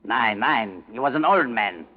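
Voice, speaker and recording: An actor playing a cold, calculating Nazi prison commandant with a German accent, clipped and commanding, in a 1940s radio drama. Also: male voice, mid-range voice, animated, a little slow, phone-quality sound, close to an everyday voice. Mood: intent, calculating. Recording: thin, noisy 1940s radio recording.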